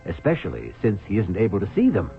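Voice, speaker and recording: A man hosting a radio mystery drama, narrating from a script and teasing listeners in a measured manner. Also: fairly low pitch, huge pitch swings, gravelly. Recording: thin, phone-like old radio recording. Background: music.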